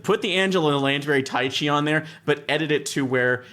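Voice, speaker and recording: A man's voice: fairly high pitch, brisk, crowd noise. A man chatting casually as one of a group of podcast panelists. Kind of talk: casual chat.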